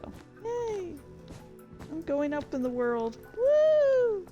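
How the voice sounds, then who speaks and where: A woman's voice: extremely high pitch, huge pitch swings, slow, highs cut off, over loud music. A woman hosting a casual livestream, rambling and narrating her own actions with exclamations as she plays a game. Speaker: livestreamer and host. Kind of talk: casual livestream chat while playing a game.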